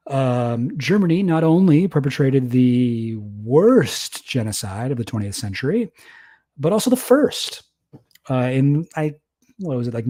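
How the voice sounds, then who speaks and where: A man's voice: mid-range voice, dark tone. A young man casually commenting on a livestream, rambling, self-deprecating and laughing often. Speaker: streamer reacting to a debate.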